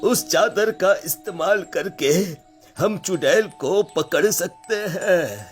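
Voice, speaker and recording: Man acting a slow, high-voiced old village elder. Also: animated, faint music behind. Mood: grave, resolute. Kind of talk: cartoon story dialogue.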